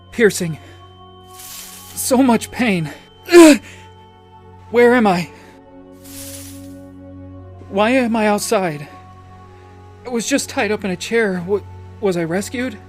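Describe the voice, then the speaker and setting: A fretful man played by an actor with a somewhat high voice, dazed and unsure of his own mind. He tells his story in the first person, as in an audio drama, with music behind him. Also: lively intonation, takes it slowly, long pauses. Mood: pained panic. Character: anxious, rattled man narrating his own memory loss.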